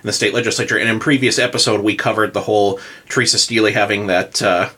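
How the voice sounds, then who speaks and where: An American man with a bright, forceful tone and a husky grain hosts a casual political talk. He is opinionated and wordy, piling clause on clause. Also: on the low side, fairly even intonation, fast. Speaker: podcast host.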